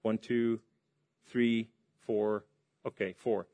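A man's voice: fairly low pitch, little pitch movement, takes it slowly. Impassioned male preacher from Lebanon. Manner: impassioned, swings between loud and hushed. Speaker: preacher giving testimony. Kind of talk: testimony sermon.

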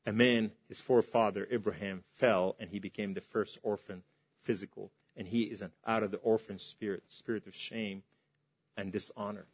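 A man from Lebanon preaching a testimony sermon to a large hall, impassioned and swinging between loud and hushed. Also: fairly low pitch, steady tone, moderate pace, husky.

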